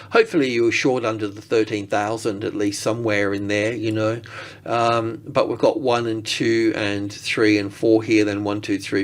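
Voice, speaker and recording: A man's voice: fairly low pitch, gravelly. A man giving casual trading commentary as a market analyst, thinking aloud in a rambling way and often rephrasing himself.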